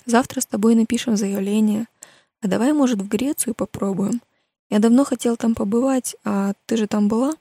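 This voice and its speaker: A woman's voice: a little high, moderate pace, warm tone, husky, dry recording. A woman voicing a thoughtful, questioning teenage boy in an audiobook, in colloquial speech. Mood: eager.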